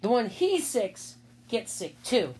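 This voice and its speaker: A woman voicing a whiny, indignant tattletale schoolgirl in a children's storybook, complaining in drawn-out words. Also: a little high, very animated, darkish tone.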